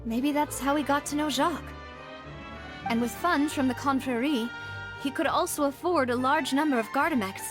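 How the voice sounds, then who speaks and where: A poised young lady investigator from a video game, voiced by an actress, speaking in a high voice with music underneath. She is thoughtful and deliberate, weighing each idea in measured musings. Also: lightly acted. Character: poised, thoughtful young woman investigating her father's case.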